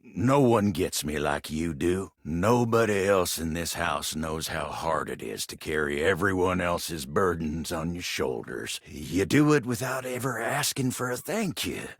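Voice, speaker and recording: Gravelly, drawling folksy Southern cowboy sweetheart. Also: male voice, low voice, very animated, no room sound, clean studio sound, heavily acted. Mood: earnest, loving. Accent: American Southern. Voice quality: gravelly, husky. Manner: slow, folksy drawl, bashful and earnest. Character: gentle, lovestruck living table with folksy cowboy charm. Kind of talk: video game character acting.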